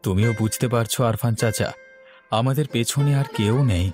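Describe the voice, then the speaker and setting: A man's voice: medium pitch, moderate pace, music in the background, lightly acted. A man voicing a poor, earnest farmer in a cartoon story, speaking in a pleading, despairing way. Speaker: voice actor. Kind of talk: Bengali cartoon story acting.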